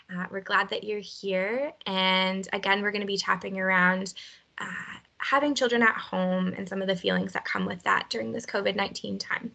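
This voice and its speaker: Bright gravelly woman leading tapping. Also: medium pitch. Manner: gentle, encouraging, leads phrases for repetition. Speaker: tapping session leader. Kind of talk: guided emotional freedom technique session.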